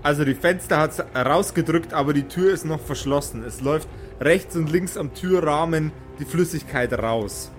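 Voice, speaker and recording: High-voiced male game master over music. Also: normal speed. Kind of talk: live tabletop game narration, improvised.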